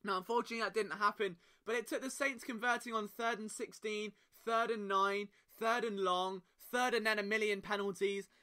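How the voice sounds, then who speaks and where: Bright, high-voiced man, impassioned. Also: steady tone, a little fast, hardly any pauses. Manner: impassioned, emphatic rhetorical questions. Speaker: podcast host. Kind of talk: solo sports podcast monologue.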